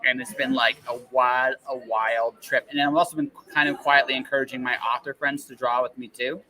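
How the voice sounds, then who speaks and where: A man's voice: medium pitch, level tone, brisk, sharp tone, poor audio. A man hosting a casual podcast interview in a rambling, emphatic way, often checking in with the listener. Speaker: podcast host.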